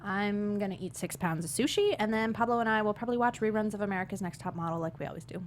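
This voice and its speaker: A woman's voice: medium pitch, quick pace, natural voice. A woman playing a sardonic teenage girl barista with a dry, quippy delivery at a script read. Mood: casual, quippy. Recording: noticeable background noise.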